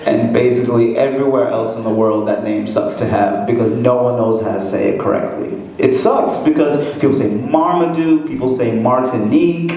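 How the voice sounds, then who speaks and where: A man in his twenties doing a stand-up comedy set in a conversational, self-deprecating way, with a light voice that has little bass. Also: moderate pace, hardly any pauses, mellow, a little hiss or hum. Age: 20s. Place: comedy club stage, echoey room. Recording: echoey club with a laughing crowd. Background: laughing audience.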